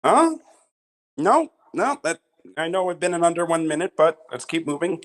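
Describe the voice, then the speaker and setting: A man's voice: fairly high pitch, very animated, moderate pace, fairly bright tone. A man giving casual spoken feedback in a tentative way, searching for his words. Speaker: participant giving script feedback.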